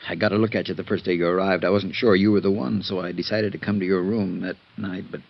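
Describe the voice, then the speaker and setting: A deep-voiced, weary fugitive embezzler. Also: male voice, fairly even intonation, fast talker, vocal fry. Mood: weary, defensive. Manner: hesitant, resigned. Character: weary, remorseful male embezzler on the run. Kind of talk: 1950s radio drama acting. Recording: dull, hissy vintage radio recording.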